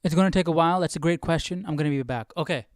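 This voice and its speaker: Guy chatting on a livestream, slightly high clear voice, dry room, thinks out loud. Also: rapid-fire, no echo. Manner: informal, musing aloud, repeats phrases while thinking. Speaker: host of a live Bible study stream. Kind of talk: casual livestream commentary.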